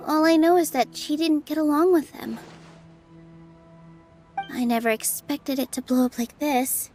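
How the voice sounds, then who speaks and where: High-voiced, gentle young dancer. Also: female voice, measured pace, faint music behind. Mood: apologetic, reflective. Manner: soft-mannered, earnest, thoughtful. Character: Nilou, gentle, earnest young dancer woman. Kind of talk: anime game voice acting.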